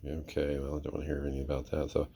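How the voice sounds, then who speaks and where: A man's voice: very deep voice, completely flat delivery, racing, loud music behind the voice. A man giving a casual, unscripted demonstration as a presenter, in an easygoing, somewhat hesitant way. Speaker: presenter demonstrating a vintage radio receiver.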